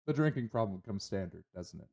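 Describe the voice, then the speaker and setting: A man's voice: fairly low pitch, expressive, fairly quick, creaky, darkish tone, husky. A man acting as game master, narrating and chatting casually with players at a tabletop roleplaying session.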